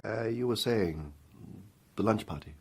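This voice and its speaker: An actor playing a courteous, guarded gentleman traveller in a radio drama. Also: on the low side, lively intonation, a little slow, husky, heavily acted. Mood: reserved, attentive. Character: reserved, courteous gentleman traveller lending an ear.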